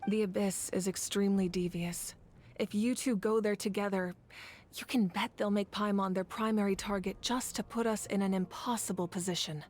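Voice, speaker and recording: A woman playing a composed, resolute war leader and divine ruler in a video game, speaking in a measured, earnest, formal way. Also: mid-range voice, fairly even intonation, a little mellow, lightly acted. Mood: grave. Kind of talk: video game character acting. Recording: faint music behind the voice.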